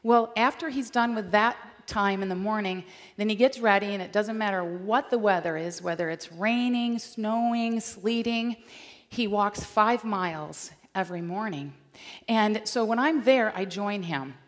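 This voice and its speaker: A middle-aged woman from Michigan giving an animated, storytelling chapel talk on a large stage. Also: mid-range voice, a little slow. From Michigan, USA. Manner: animated, gesturing while walking the stage. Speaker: chapel speaker. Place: large dark auditorium stage. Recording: long hall reverb.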